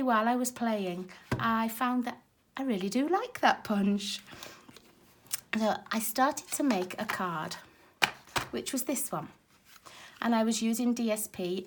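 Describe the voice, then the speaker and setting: A woman with a raspy, hoarse voice from a cold, chatting casually as she demonstrates card making at her craft table. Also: medium pitch. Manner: chatty and explanatory, talking while working with her hands. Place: craft table at home, filmed from overhead.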